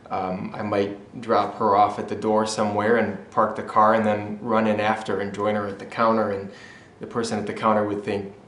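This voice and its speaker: A man from Michigan sharing his story in a church testimony video, easygoing and conversational, pausing to find his words. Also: on the low side, slight background noise. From Michigan, USA. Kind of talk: personal testimony, interview-style. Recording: echoey room recording.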